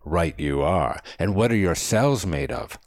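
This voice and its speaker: A man's voice: sits low, lively intonation, a little slow, a little mellow, no room sound, studio quality. A man voicing a feisty, philosophical old man in an audiobook, lecturing with precise, scholarly diction. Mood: probing. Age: older. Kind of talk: audiobook character dialogue.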